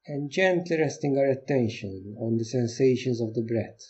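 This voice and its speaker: A man leading a guided meditation as a teacher, giving gentle instructions in short phrases with pauses. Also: mid-range voice, expressive, breathy edge, highs cut off.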